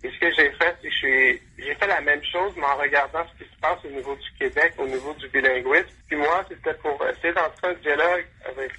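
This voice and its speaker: A man's voice: fairly high pitch, brisk, few pauses, sharp tone, slightly rough, low-quality recording. A male professor answering radio interview questions, hesitant, with frequent restarts and fillers. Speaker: guest professor.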